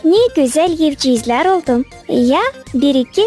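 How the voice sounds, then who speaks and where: A knowledgeable, helpful young girl in a children's cartoon. Her Gagauz-accented lines come slowly and calmly at a slightly raised pitch, with faint music. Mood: calm, informative.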